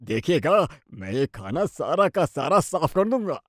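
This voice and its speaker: A man acts a middle-aged family man in a cartoon moral tale. His fairly high voice swoops about, blunt and fussy, in a dry recording. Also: sing-song, unhurried, no echo. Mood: greedy delight. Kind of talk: animated Hindi moral-story dubbing.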